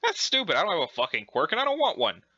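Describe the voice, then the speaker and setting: A man's voice: sits high, huge pitch swings, fast, sharp tone, no echo, highs cut off, exaggerated voice. A man voicing a blunt, sarcastic, impatient young human with a dismissive manner in a casual webcomic reading. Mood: incredulous.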